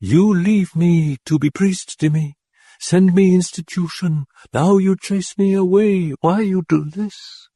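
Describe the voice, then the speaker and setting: Man doing a high pleading old mother. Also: takes it slowly, warm tone, husky, no room sound, studio quality, full character voice. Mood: reproachful. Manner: broken English, imploring. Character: elderly immigrant mother, pleading, frightened.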